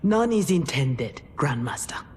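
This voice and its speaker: A woman's voice: deep voice, big pitch swings, measured pace, darkish tone, a little hiss or hum. A woman playing a principled, wary warrior in a video game, speaking with formal, grave restraint. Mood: guarded respect. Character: principled, wary young female warrior, dutiful and proud. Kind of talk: video game cutscene acting. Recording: ominous music behind the voice.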